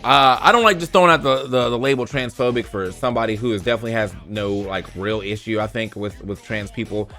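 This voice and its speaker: A man's voice: medium pitch, fast talker, hardly any pauses, pushed and bright, soft background music. A man hosting a gaming news show, commenting casually and reading posts aloud in an informal, slangy way with free swearing.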